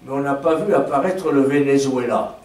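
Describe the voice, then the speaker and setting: A man asking formal, measured questions of a speaker after a lecture in an auditorium. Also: medium pitch, darkish tone. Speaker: questioner at a debate. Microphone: distant. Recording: echoey hall, distant mic, faint noise.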